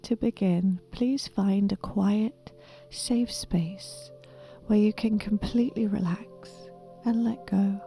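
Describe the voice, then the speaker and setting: A woman with a soft, breathy, whispery voice gently and soothingly guiding a meditation in a deliberate manner. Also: medium pitch, fairly even intonation, soft background music. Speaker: meditation guide. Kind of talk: guided meditation, soft half-whispered narration.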